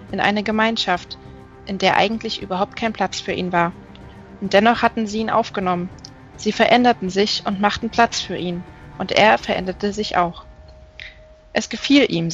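A woman reading literary narration as an audiobook, measured and careful. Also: mid-range voice, gravelly, muffled recording. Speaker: audiobook reader. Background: music.

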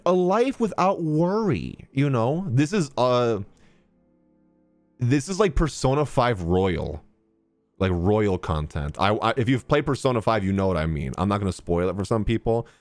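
A young man streams live reactions in slangy, casual bursts. His mid-pitched voice swings very widely and sounds close and dry, with faint music behind. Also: dry recording. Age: young adult. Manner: slangy, exclamatory. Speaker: game streamer. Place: indoor streaming room. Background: faint game music.

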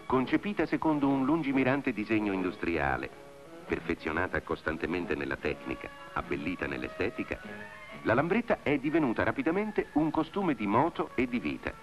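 A man's voice: fairly low pitch, sing-song, moderate pace, music in the background. A man reading stately scripted narration for an old industrial documentary. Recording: dull vintage film soundtrack.

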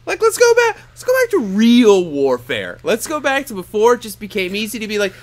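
A man's voice: high-pitched, huge pitch swings, fairly quick. A man in his thirties co-hosting a comedy podcast, rambling and swearing freely in casual banter, laughing often. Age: 30s. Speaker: podcast co-host.

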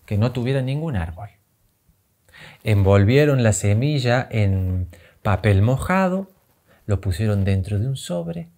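Animated, slightly husky Argentine male storyteller. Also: on the low side. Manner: animated, dramatic pauses, simple deliberate phrasing. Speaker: children's storyteller and channel host. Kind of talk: expressive children's storytelling.

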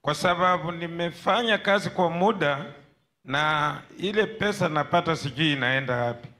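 A man's voice: on the high side, normal speed, bright, forceful tone. A man in his forties with a Kenyan accent giving an earnest testimony to a preacher. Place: large church hall. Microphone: handheld microphone held for him.

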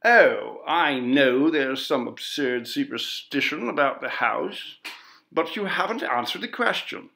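A man's voice: mid-range voice, expressive, measured pace, gravelly, heavily acted. A man voicing a pompous male coroner who sharply questions a witness in a read-aloud ghost story. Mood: stern. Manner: sharp, lecturing, indignant.

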